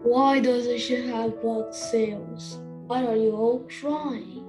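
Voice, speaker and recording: A woman's voice: fairly high pitch, steady tone, slow. A woman playing a brave, earnest young prince of Athens in an amateur radio play, over music. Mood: questioning. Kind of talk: amateur radio theater reading. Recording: clear music behind.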